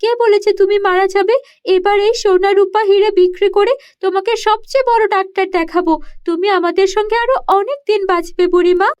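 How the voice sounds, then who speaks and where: A woman's voice: very high-pitched, fairly even intonation, normal speed, darkish tone, dull audio. A woman voicing an earnest, kind-hearted young village girl in a children's cartoon, with emphatic delivery. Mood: caring.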